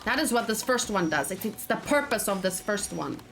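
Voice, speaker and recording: A woman giving casual, excitable let's play commentary, talking to herself and repeating words in quick bursts. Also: medium pitch, loud music behind the voice. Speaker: gaming let's play host.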